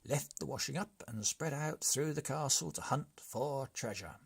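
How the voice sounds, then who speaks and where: A man narrates a children's tale with slow, measured delivery. His voice is husky and gravelly, and he reads with dramatic expression. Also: mid-range voice. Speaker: story reader.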